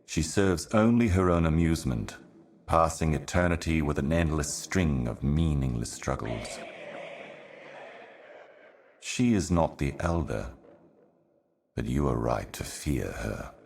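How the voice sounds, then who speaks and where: An actor playing an ominous, ancient otherworldly herald in a video game, speaking in solemn, cryptic, deliberate monologue. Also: male voice, extremely low pitch, animated, creaky, darkish tone, slight background noise, exaggerated voice. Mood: cryptic, foreboding. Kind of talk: scripted video game voice acting, slow monologue.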